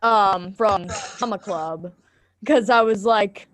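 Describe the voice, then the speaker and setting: Young female amateur performer, mid pitch, informal chatty delivery. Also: slow pace, a bit bright. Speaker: amateur actress in a script reading. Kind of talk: casual chat.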